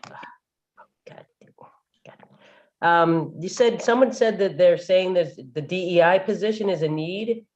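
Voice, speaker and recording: A woman's voice: on the low side, measured pace, long pauses, a little mellow. An older grandmother calling into a meeting, flustered and apologetic as she rambles through her questions. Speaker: caller at a public meeting. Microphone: video call without camera. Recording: dull lo-fi call audio.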